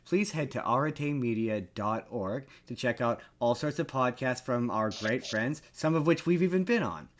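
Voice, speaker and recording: A man's voice: medium pitch, animated, moderate pace, few pauses. A man hosting a podcast with casual comedic chat, talking animatedly and repeating phrases for comic emphasis. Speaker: podcast host. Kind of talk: casual comedic chat about pop culture.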